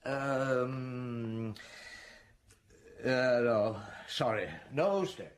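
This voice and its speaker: An actor playing a weary, wry French police chief inspector in a radio drama, speaking conversationally. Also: male voice, mid-range voice, extremely slow. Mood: evasive. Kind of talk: radio drama dialogue and reminiscence.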